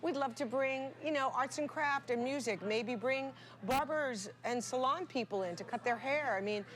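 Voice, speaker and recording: A woman's voice: high voice, normal speed, fairly bright tone. A woman community organizer giving an earnest, casual interview.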